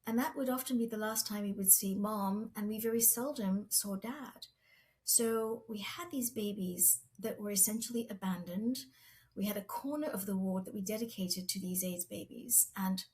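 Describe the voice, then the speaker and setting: A middle-aged woman who grew up in Zambia, speaking as a podcast guest. She talks in an earnest, reflective way with a measured pace. Her voice sits at a medium pitch. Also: a little mellow. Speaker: guest, pharma medical executive. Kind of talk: interview storytelling.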